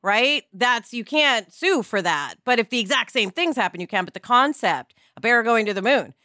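A woman's voice: a little high, animated, normal speed, bright, forceful tone, dry recording, studio quality. A woman co-hosting a podcast, talking casually in an earnest, encouraging way. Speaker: podcast co-host. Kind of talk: casual conversational discussion.

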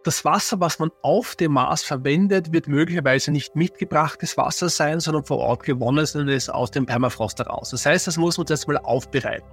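A male expert explaining technical details in an interview, pausing with fillers as he searches for words. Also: on the high side, faint music behind.

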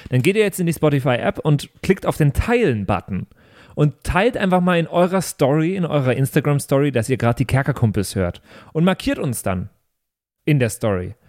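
A man's voice: on the high side, lively intonation, a little fast, a little mellow. A man hosting a tabletop podcast in speech, informal and jokey as he chats and makes announcements. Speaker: player and podcast host. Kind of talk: casual improvised tabletop podcast chat.

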